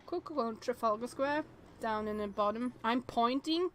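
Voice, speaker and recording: Higher-pitched chatty woman streaming a game. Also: moderate pace, a little hiss or hum. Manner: chatty, expressive, thinking aloud with exclamations. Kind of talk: casual live game commentary, reading on-screen text aloud.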